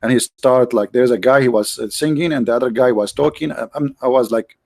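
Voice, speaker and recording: A man's voice: medium pitch, fairly quick, creaky, darkish tone, no echo. A man hosting a livestream, talking casually and sarcastically, with many restarts and fillers. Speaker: livestream host.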